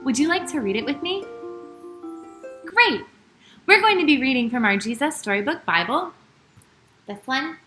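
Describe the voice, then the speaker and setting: A woman pastor hosting storytime for young children, talking and reading aloud in an animated, sing-song manner straight to her listeners. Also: sits high, normal speed, long pauses, echoey room, faint music behind.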